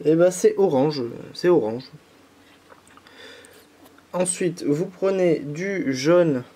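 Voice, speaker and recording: A man's voice: fairly high pitch, takes it slowly, dark tone. A young man from France with a slight head cold, patiently walking viewers through a candy kit tutorial step by step. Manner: patient, talking viewers through each step with many fillers. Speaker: YouTuber.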